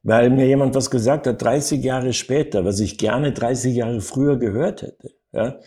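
An older man telling anecdotes as an interview guest, in an animated storytelling manner with frequent little tag words. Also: medium pitch, moderate pace. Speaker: acting coach and interview guest.

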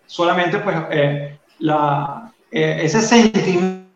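A Venezuelan man with a Venezuelan accent, sharing a faith testimony in casual, halting talk full of fillers and restarts. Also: on the high side, very echoey, lo-fi sound, music in the background.

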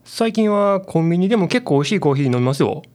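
An actor with a Kansai accent playing a casual, blunt convenience store clerk in a radio drama. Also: male voice, sits high, expressive, fast, a little mellow. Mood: chatty.

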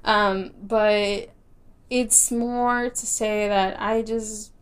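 A woman's voice: medium pitch, steady tone, very slow, clean tone. A young woman hosting her own podcast, speaking with a light Texas country accent. She rambles in a casual, chatty way, laughing easily and circling back with plenty of fillers and restarts. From Texas, United States. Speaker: podcast host. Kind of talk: casual solo podcast chat.

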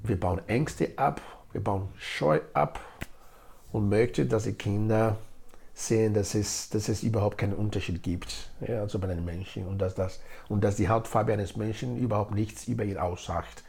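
A man's voice: lowish voice, moderate pace, gravelly, a little mellow. A middle-aged man from Nigeria, a native speaker of Edo, answering interview questions in an explanatory way, repeating words for emphasis. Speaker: interview guest, integration project leader.

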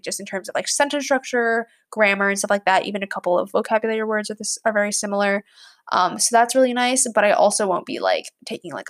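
A woman in her twenties, a college student, talking casually and rambling with lots of fillers. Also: mid-range voice. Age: 20s. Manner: rambling, many fillers, chatty. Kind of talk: casual chat to camera.

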